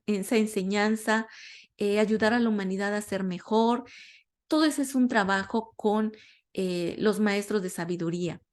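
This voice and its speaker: A Latin American woman teaching a metaphysics class in a conversational way, often pausing to search for words and correct herself. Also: medium pitch, darkish tone. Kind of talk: informal spiritual class talk.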